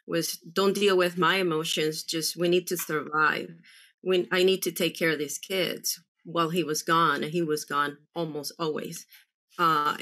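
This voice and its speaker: A woman from El Salvador in her forties speaks on a video call from a room at home. Her voice sits a little low but sounds bright. She talks earnestly and haltingly, often restarting her sentences. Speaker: panel guest, military spouse. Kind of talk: candid panel talk.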